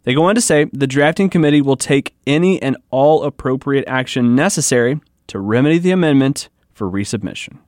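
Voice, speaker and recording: A man's voice: mid-range voice, lively intonation, brisk, hardly any pauses, a little mellow, dry recording. A man reporting the news in a scripted narration style.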